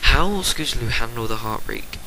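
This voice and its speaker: A man's voice: medium pitch, animated, brisk. A man giving an unrehearsed dramatic story reading, amused, often stumbling and correcting himself, in a noisy recording. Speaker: amateur story reader. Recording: loud background noise with clicks.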